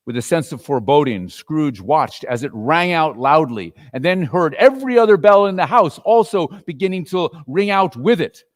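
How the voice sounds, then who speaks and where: Animated male storyteller in a reverberant hall. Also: a little high. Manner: expressive, theatrical storytelling. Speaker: narrator and performer. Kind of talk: dramatic reading of a classic story.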